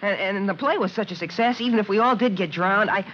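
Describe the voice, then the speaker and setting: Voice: mid-range voice, a bit bright, lightly acted. A performer playing an eager, earnest, stammering schoolboy in a 1940s radio drama. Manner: halting, stammering. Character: eager, flustered schoolboy, golly-gee earnest. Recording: noisy, dull vintage radio recording.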